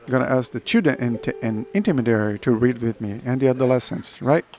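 Hoarse middle-aged man leading church. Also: medium pitch, fast talker, darkish tone. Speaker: pastor leading a church service. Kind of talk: addressing a congregation and reading scripture aloud. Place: small church room, indoors, at a lectern.

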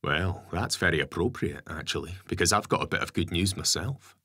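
A man's voice: deep voice, animated, a little fast, bright, forceful tone, husky, full character voice. A man voicing a jovial, affectionate family dad who likes to tease, in a story reading. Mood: cheerful. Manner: teasing, affectionate. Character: Gary, jovial working-class family dad.

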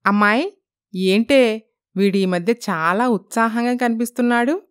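A woman's voice: a little high, unhurried, clean tone, lightly acted. Shrewd old grandmother, as a woman plays her. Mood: suspicious. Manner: knowing, colloquial elder's talk.

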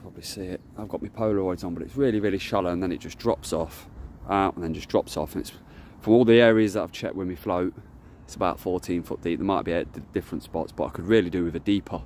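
A deep-voiced man talks in a loose, rambling way while walking a lakeside with his phone. His speech wanders and restarts, with a faint hiss of outdoor wind behind him. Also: fast, hardly any pauses, a little mellow. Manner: rambling, chatty, self-correcting. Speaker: carp angler vlogger. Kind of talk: casual walk-and-talk vlog. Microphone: phone camera held while walking.

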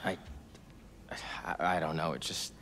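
An actor playing a weakened, subdued young man in a video game, speaking haltingly. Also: a bass voice, steady tone, fairly bright tone, full character voice. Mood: weak, subdued. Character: weakened young man, superhero's sick friend.

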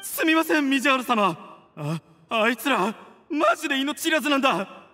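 A scared, apologetic henchman in a fantasy game. His voice is high and sharp with tension, stammering and panicky, over faint noise and clicks. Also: big pitch swings, normal speed, full character voice. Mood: panicked. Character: frightened, apologetic male underling of a warband. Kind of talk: video game voice acting.

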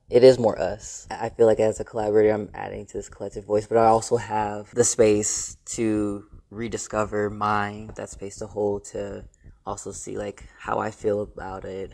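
An earnest young movement artist from St. Louis talks about his passion. His low voice is gravelly and stays fairly level in pitch. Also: darkish tone. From St. Louis, Missouri. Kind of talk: reflective interview talk.

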